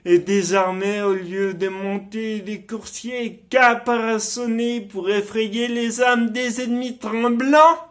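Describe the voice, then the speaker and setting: Bitter deformed nobleman, scheming villain, very slow delivery, declamatory, classical stage monologue. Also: high-pitched, fairly even intonation. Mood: grandly ironic. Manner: declamatory, deliberate, dramatic delivery. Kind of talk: theatrical Shakespeare monologue.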